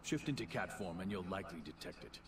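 A stern elven druid elder from a fantasy game, grave and commanding in a low voice. His words echo as if sent by magic, with noisy game ambience around them. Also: lively intonation, fast, recorded from a distance. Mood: stern, instructing. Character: stern male night elf druid mentor. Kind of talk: fantasy video game voice acting. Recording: echoey, noisy game audio behind.

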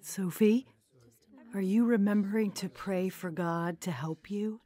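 Actress as mellow, kindly neighbor woman. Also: mid-range voice, a little slow, lightly acted. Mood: gentle, sympathetic. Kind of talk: radio drama acting.

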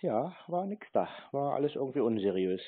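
A man's voice: medium pitch, big pitch swings, fairly quick, breathy edge. A man on a casual phone call, talking in a terse, mocking and provocative way. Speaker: scambaiter on phone calls. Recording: narrow phone-line sound.

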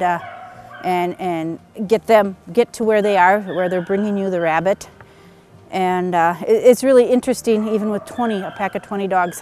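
A middle-aged woman speaks in a loose, plainspoken way, filling pauses with uhs as she explains. She is recorded outdoors in winter woods on a camera mic. Her pitch sits in an ordinary middle range. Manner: chatty, plainspoken, frequent uhs. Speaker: beagle owner. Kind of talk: casual outdoor interview.

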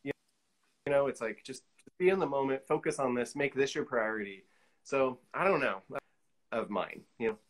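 Male, middle-aged, mid-pitched. Casual interview guest on a video call, hesitant and exploratory delivery. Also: moderate pace. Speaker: guest, collage artist. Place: indoor room, on a video call.

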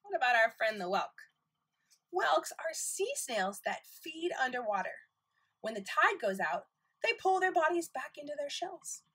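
A woman teaching nursery school, reading a picture book aloud to young children in an expressive, questioning manner, with exclamations. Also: sits high, a little slow.

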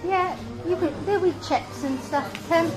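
Woman, Welsh accent, high voice, chatty mother, phone vlog, noisy restaurant. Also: moderate pace. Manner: chatty, coaxing with her child. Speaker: vlogger, mother. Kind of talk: casual family vlog. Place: indoor buffet restaurant. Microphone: handheld phone camera.

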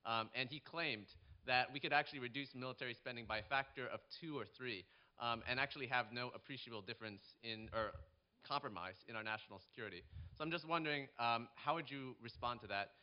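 Young student guy, talks fast and polite into a mic, slightly muffled. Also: medium pitch. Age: young adult. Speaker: student questioner. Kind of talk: polite formal question from the audience. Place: auditorium audience. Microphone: stand microphone.

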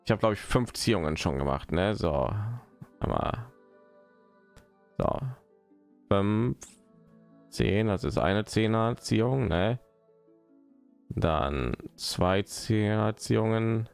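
A man's voice: lowish voice, expressive, slow, creaky, long pauses, faint music behind. A man, streaming a game and rambling casually, muttering to himself as he plays. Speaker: live streamer. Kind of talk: casual gaming stream commentary.

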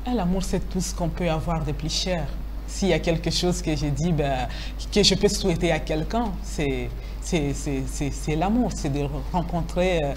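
Woman, forties, lowish voice, hesitant with fillers, TV studio lapel mic, faint hum behind. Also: moderate pace. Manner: hesitant, many fillers and restarts, laughs easily. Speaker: writer, interview guest. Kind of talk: casual interview answers. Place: TV studio set.

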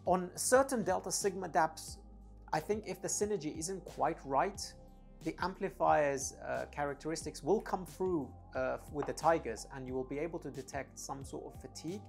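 A man's voice: a little high, gravelly. Man reviewing audio gear, emphatic delivery. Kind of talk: product review to camera. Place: dim indoor home studio room. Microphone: lapel clip-on mic.

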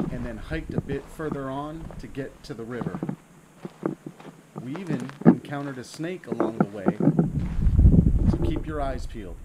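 A man with a mid-range voice narrates a trail video in calm, even voice-over. Clear insect and outdoor noise sits behind him. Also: mid-range voice. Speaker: channel host narrating.

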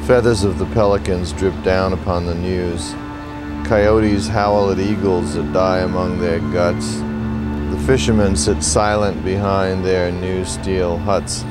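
A man playing a rambling, melancholy middle-aged poet in a theatrical monologue, halting and musing, repeating phrases to himself. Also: deep voice, little pitch movement, over loud music. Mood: brooding, poetic. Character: rambling, melancholy washed-up male poet, wistful and self-doubting. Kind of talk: theatrical monologue with recited verse.

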